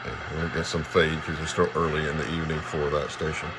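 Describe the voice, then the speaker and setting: Deep-voiced man over radio hiss. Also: flat delivery. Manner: halting, thinking aloud while operating. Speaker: radio hobbyist demonstrating equipment. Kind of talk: casual hands-on demonstration. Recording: clear radio static and hiss behind. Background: shortwave radio noise.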